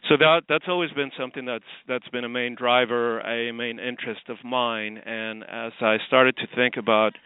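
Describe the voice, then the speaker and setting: A man who grew up in Chile, a guest on a call-in radio show, talking from his office in a reflective, halting way with many ums and restarts. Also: medium pitch, gravelly, smooth, dry recording. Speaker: guest, artist and forum founder. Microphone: phone call. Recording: tinny phone line.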